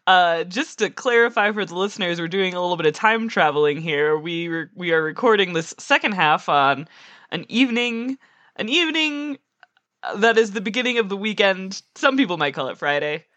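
A woman's voice: medium pitch, normal speed, dry recording. A woman in her thirties co-hosting a casual chat, rambling loosely and peppering her sentences with "like". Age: 30s. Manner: rambling, animated asides with frequent "like". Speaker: podcast co-host. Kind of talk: casual film and music chat.

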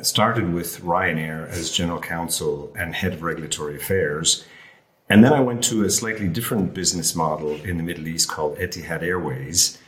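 A man co-hosts a relaxed conversation, speaking in a measured, steady way. His voice is very deep, and it rings in an echoey room. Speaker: co-host. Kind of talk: casual conversational talk.

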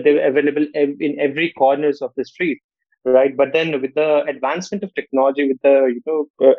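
A man from Nepal, speaking as a podcast guest at a measured pace. He keeps tagging his sentences with "right?". His voice is dark and mellow, and the audio sounds dry and slightly dull, like a lo-fi call. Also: fairly high pitch, no echo. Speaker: guest, fintech co-founder. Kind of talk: podcast interview.

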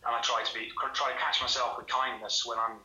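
A man talking as a podcast guest over a call, his voice very bright and sharp. He speaks in a relaxed, reflective way with frequent ums and kind-ofs. The sound is roomy, with echo around him. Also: mid-range voice, fast talker, muffled recording. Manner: relaxed, hesitant with fillers. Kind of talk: casual interview chat. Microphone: remote call recording.

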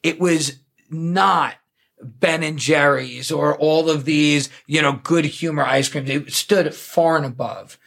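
Male podcast host, enthusiastic storyteller, husky tone. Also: on the high side, takes it slowly, a bit bright. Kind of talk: casual podcast chat.

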